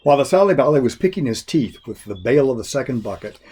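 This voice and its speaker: A man reading a children's folk tale expressively, in a folksy, deliberate storyteller's manner. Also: medium pitch, big pitch swings, dark tone, breathy edge. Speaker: story reader.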